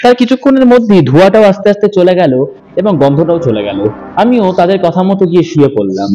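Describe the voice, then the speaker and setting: Man with a high voice, earnest first-person horror narrator, stops to fix his own slips. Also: big pitch swings, fast talker, warm tone, muffled recording. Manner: earnest, with small self-corrections.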